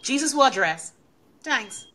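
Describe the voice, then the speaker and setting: Lively, animated woman co-host. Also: sits high, unhurried. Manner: playful, joking asides. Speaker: podcast co-host. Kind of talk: casual podcast conversation.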